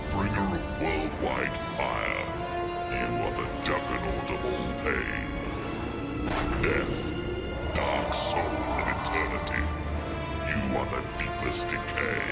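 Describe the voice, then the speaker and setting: An actor voicing a grave, ancient council spirit in a game cutscene, solemn and portentous, with a gravelly voice. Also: male voice, rumbling low, heavily acted. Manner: solemn, portentous, theatrical delivery. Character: grave ancient male council spirit, ominous and commanding judge. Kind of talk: dramatic scripted game cutscene narration. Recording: loud music under the voice. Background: loud music.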